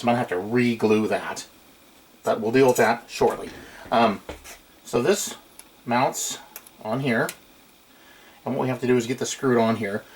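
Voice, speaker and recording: A middle-aged man giving a casual, unscripted hands-on demonstration at a workbench, recorded on a camera microphone in a small room. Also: medium pitch. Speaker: hobbyist electronics restorer. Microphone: camera microphone at a short distance. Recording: noisy amateur camcorder room recording.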